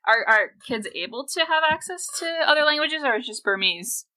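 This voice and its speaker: A woman's voice: fairly high pitch, expressive, brisk, bright, forceful tone. A woman co-hosting a podcast, asking curious follow-up questions with small restarts. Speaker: podcast co-host. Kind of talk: conversational interview questions.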